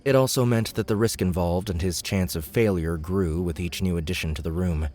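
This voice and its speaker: A man with a very deep voice narrates an audiobook. It is scripted fantasy fiction, read as storytelling. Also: steady tone, brisk, a little mellow.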